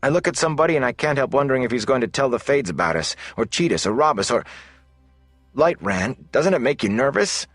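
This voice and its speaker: A man's voice: mid-range voice, expressive, fairly quick, fairly bright tone, dry recording, soft background music, pitched above the performer's own voice. A man voicing a jumpy, suspicious young man on the run in a fantasy audiobook, tense and edgy. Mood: edgy, nervous.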